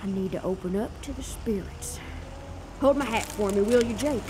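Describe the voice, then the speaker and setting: An actress playing an eccentric, brassy 1930s spirit medium in an audio drama, slangy, excitable and wisecracking. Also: female voice, mid-range voice, moderate pace, background noise, lightly acted. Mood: excited. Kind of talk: period audio drama acting.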